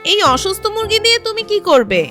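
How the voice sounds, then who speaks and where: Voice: very high-pitched, sing-song, quick pace, over music. A performer voicing a practical village woman in a children's cartoon, speaking in a matter-of-fact, instructing way. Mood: brisk, practical. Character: practical village woman, mother or farm owner. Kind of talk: children's moral cartoon dialogue.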